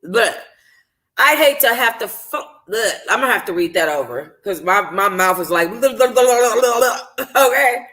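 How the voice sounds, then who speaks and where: Bright-voiced woman reading a novel aloud. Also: fairly high pitch, expressive, takes it slowly. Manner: stumbles over words and rereads phrases. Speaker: book club host reading a novel aloud. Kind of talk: informal first-person audiobook narration.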